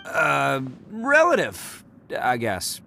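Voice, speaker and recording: A man's voice: on the high side, huge pitch swings, gravelly, pushed and bright, a little hiss or hum, full character voice. An actor playing a brash, boastful young oni man with a big heart, talking in a cocky, bouncy way. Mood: awkward. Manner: cocky, bouncy, quick to brag. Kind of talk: video game voice acting.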